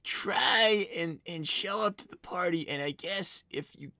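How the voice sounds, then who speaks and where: A woman's voice: on the low side, unhurried, pushed and bright, no room sound. A woman voicing an exasperated, awkwardly kind teenage boy fox, hesitant and dry in a live cartoon roleplay.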